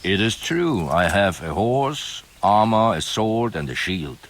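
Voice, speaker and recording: An actor playing a grim, guarded, world-weary knight with a formal, measured and ominous way of speaking, in a video game. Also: male voice, on the low side, sing-song, exaggerated voice. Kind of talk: dramatic video game acting. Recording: music behind the voice.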